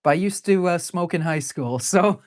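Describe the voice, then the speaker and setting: A woman in her forties with a low, deep voice, chatting casually while drawing live. She rambles, laughs often, and fills pauses with plenty of ums and uhs. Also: dry recording, clean studio sound. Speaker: artist drawing live on stream.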